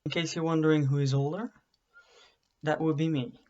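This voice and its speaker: Young man, wry vlogger, slightly high voice, muffled webcam sound. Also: level tone, normal speed, darkish tone. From Belgium. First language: Dutch. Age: young adult. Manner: dry, self-deprecating humor. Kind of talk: casual self-introduction to camera. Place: bedroom study with bookshelves, indoors. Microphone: webcam at desk. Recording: dull lo-fi webcam audio.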